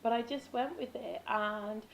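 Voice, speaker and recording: A woman with a raspy, hoarse voice, chatting casually in a vlog about spiritual habits, with frequent ums and restarts. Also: a little high, unhurried, a little hiss or hum. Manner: rambling, with many ums and self-corrections. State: hoarse, losing her voice. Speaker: vlogger.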